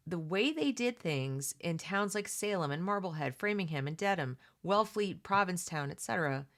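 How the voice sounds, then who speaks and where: Woman w a low voice doing a read-along, chatty and emphatic, talks right to the listener, gives her opinions. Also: fairly bright tone. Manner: conversational, emphatic, often addressing listeners directly. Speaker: host of a read-along commentary channel. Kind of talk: reading a book aloud with casual, opinionated commentary.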